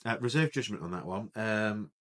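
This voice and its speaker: A man with an English Midlands accent leads a casual film chat and teases his co-host. His pitch rises and falls in an animated way. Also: moderate pace. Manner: teasing, leads the topics, swears casually. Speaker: podcast co-host.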